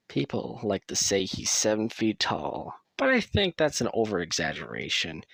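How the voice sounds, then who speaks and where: A man performs a young asylum patient in an audio drama, nervous but amiable. He speaks slowly and hesitantly, as if sharing secrets. His voice has some creak and moves freely up and down. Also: lowish voice, huge pitch swings, fairly bright tone, no echo, full character voice. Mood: relieved, confiding. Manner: hesitant, confiding, jittery. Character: nervous, friendly young male asylum patient, quietly defiant. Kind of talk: audio roleplay acting.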